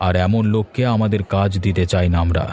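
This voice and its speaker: Man voicing a low-pitched, principled city gentleman. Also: fairly even intonation, fast, a little hiss or hum, natural voice. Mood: firm. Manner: formal, moralizing. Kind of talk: cartoon story voice acting.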